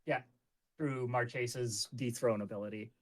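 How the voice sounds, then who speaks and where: A man's voice: mid-range voice, fairly even intonation, unhurried. A man chatting casually and jokingly as a player in an online card game. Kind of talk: casual, joking table chat.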